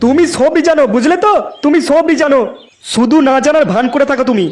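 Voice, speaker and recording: Bossy crude cartoon villager, extremely high pitch, exaggerated teasing delivery, comedy dub, loud music behind. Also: male voice, quick pace. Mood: irritated, bossy.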